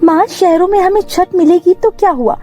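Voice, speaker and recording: A woman's voice: very high-pitched, moderate pace, dark tone, soft background music, pitched above the performer's own voice. A woman voicing an earnest, pleading schoolgirl daughter in a cartoon moral story. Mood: eager, hopeful.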